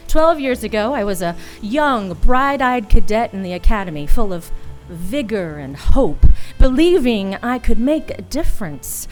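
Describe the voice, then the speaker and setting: A woman's voice: on the high side, animated, unhurried, hardly any pauses, soft background music. An actress playing a bitter, world-weary woman detective in a noir radio drama, with a cynical, brooding delivery. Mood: bleak, bitter.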